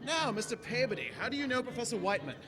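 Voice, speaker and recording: A man's voice: very high-pitched, big pitch swings, racing, over music. A man playing an eccentric, playful alien Doctor in a jaunty, theatrical way in a staged sci-fi radio play. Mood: assured.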